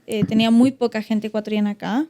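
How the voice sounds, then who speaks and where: Reflective Ecuadorian woman, fairly high voice. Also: steady tone, quick pace, dark tone. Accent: Ecuadorian Spanish. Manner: reflective, many fillers and restarts. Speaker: podcast guest. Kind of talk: casual podcast conversation.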